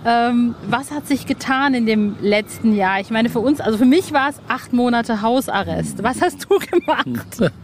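A woman's voice: a little high, expressive, moderate pace, few pauses. A woman hosting a podcast interview in an informal, enthusiastic, chatty way. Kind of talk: casual, chatty podcast talk. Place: outdoor roof terrace. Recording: clear background noise, outdoor construction site. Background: construction and city noise.

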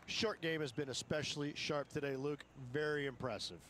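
A man's voice: on the high side, moderate pace, fairly bright tone, a little hiss or hum, lightly acted. A man playing a golf analyst in a video game, giving conversational commentary. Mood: matter-of-fact. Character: male golf analyst adding brief remarks.

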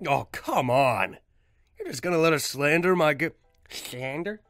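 A man's voice: fairly high pitch, expressive, takes it slowly, fairly bright tone, dry recording, studio quality, faint music behind. A young man, an amateur voice actor, joking casually in slangy outtakes.

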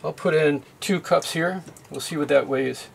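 A man's voice: fairly high pitch, normal speed, gravelly, a little hiss or hum. Methodical middle-aged man demonstrating. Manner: plain, methodical explaining with occasional fillers. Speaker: sailing how-to video host. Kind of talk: hands-on demonstration. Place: boat galley at a sink.

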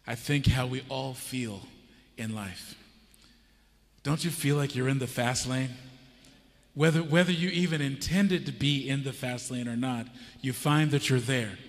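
A middle-aged American man preaching a sermon on a church stage, telling a story and asking the audience questions. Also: mid-range voice. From United States. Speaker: pastor. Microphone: handheld microphone. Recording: church hall reverb.

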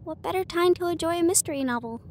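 A woman with a high voice plays an affectionate, bookish partner in an intimate audio roleplay. She speaks casually and chattily, pausing hesitantly between phrases. There is some audible noise behind her. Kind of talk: intimate acted roleplay monologue. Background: ambient train sound effects.

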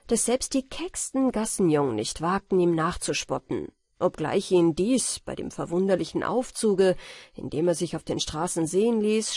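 A woman with speech reads a fairy tale as an audiobook narrator, in a soft, measured and expressive storytelling manner. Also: on the low side, husky, dry recording, studio quality. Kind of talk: scripted fairy tale narration for bedtime listening.

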